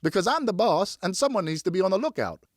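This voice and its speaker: A man voicing a bossy, excitable older boy in an audiobook, cocky and commanding, full of exclamations. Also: high voice, fast talker, dry recording, studio quality, pitched above the performer's own voice. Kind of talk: audiobook character voice.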